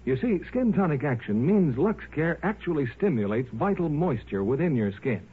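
Animated 1950s radio announcer, a man. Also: normal speed, slightly rough. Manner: polished, emphatic sales pitch. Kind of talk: 1950s scripted radio advertising. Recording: muffled 1950s radio recording.

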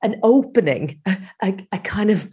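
A woman's voice: mid-range voice, expressive, normal speed, mellow, breathy edge. An Irish woman guest in casual chat, talking freely with frequent restarts and laughs. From Ireland.

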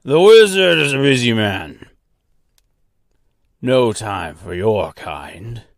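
Man voicing a throaty, gruff, surly gatekeeper creature. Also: mid-range voice, very animated, slow pace, dry recording, clean studio sound. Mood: dismissive. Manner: dismissive, curt. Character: gruff, surly disembodied gatekeeper mouth with green lips.

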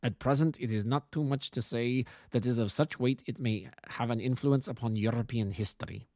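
An imperious, agitated king played by a male narrator speaks in a harsh, deep voice with a German accent. His delivery is grandiose and deliberate, the bearing of a gigantic storybook nobleman, recorded dry and close. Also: warm tone, no echo, close to an everyday voice. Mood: haughty, guarded.

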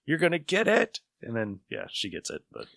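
Bright-voiced man, rambling enthusiast. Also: medium pitch, huge pitch swings, moderate pace, gravelly, no echo, clean studio sound. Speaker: podcast host. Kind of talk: casual chat reviewing old radio shows.

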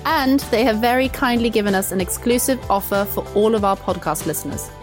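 A woman's voice: mid-range voice, a little fast, over music. A woman who is a chef, co-hosting a food podcast and reading a sponsor message in an upbeat way. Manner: upbeat, scripted delivery. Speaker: podcast co-host, plant-based chef.

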